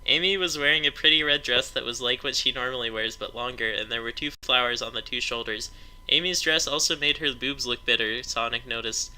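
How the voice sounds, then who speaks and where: A man's voice: medium pitch, sharp tone, poor audio. A man narrating amateur fan fiction aloud in a mock-dramatic storytelling manner. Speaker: narrator of a story read-along.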